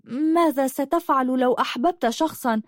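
A woman's voice: high-pitched, moderate pace, no room sound, studio quality, voice pushed up, natural voice. A woman voicing a sad, lonely young princess in a storybook, hesitant and plaintive. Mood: hesitant, pleading.

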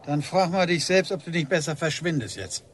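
A man's voice: a little high, expressive, a little fast, slight background noise, close to an everyday voice. A man acting in a radio drama as a stern, stubborn middle-aged village policeman and father, curt, defensive and menacing. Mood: bitter, defensive.

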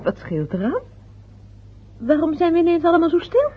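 An actress playing a polite young fiancée in a radio play, with a breathy voice and nervous little laughs. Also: female voice, very high voice, huge pitch swings, normal speed, highs cut off, full character voice. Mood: earnest. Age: young adult.

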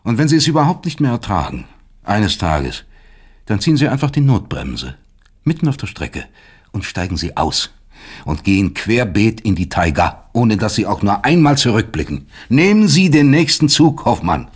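An actor in a German radio play playing a proud, bitter older East German professor of psychiatry, articulate, lecturing and sardonic. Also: male voice, on the low side, very animated, moderate pace, husky. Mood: exasperated, scornful.

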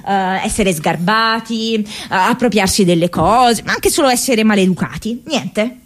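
An actress playing an idealistic, restless young woman in a radio theatre monologue, speaking expressively and haltingly, like an affectionately rebellious daughter. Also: mid-range voice, breathy edge. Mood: wry, reflective. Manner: halting, expressive, with broken repetitions.

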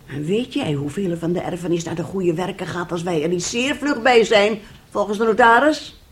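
An actress in a radio drama playing a scheming, impatient middle-aged wife who speaks in a pointed, needling way. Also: female voice, lowish voice, normal speed, natural voice. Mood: urgent, sharp. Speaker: actor.

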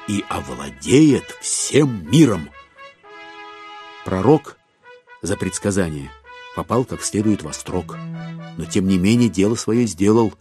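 Low, expressive male storyteller. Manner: ironic, measured literary storytelling. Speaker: narrator. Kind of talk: dramatised audiobook narration of classic satire. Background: music.

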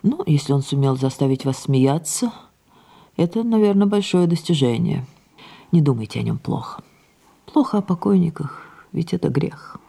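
Aging French diva: creaky, husky, imperious, theatrically swinging from cry to hush. Audio drama. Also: female voice, low voice, expressive, moderate pace, exaggerated voice. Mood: reflective. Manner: theatrical, shifting suddenly between exclamation and hush. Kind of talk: audio play acting.

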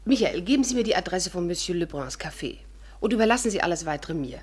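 An actress playing a self-assured, meddling amateur sleuth with a teasing, coaxing manner in a 1950s German radio play. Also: female voice, lowish voice, lively intonation, fast talker, husky.

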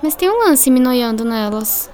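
High-voiced, inquisitive schoolgirl Laura. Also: very animated, unhurried, a little mellow, some chatter behind, slight background noise. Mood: curious. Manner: inquisitive, scolding, colloquial. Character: Laura, bright, curious, bossy schoolgirl. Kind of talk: scripted radio drama acting.

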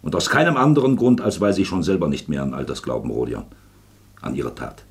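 An actor playing a shrewd, patient magistrate in a radio play, speaking deliberately and probingly with a fatherly air. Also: a bass voice, animated. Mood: pressing. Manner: deliberate, probing, pausing for weight. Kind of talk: German radio play acting.

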